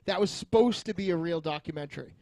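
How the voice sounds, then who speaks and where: A man's voice: fairly high pitch, fast, darkish tone, no room sound, clean studio sound. A man co-hosting a podcast, arguing about film in an emphatic, combative way with plenty of swearing. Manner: argumentative, emphatic, profane. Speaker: podcast co-host. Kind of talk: heated film review discussion.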